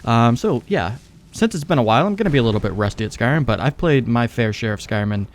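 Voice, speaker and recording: A man's voice: medium pitch, animated, fast. A young man giving rambling, laid-back, sweary let's play commentary as he games. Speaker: gaming YouTuber, let's play host.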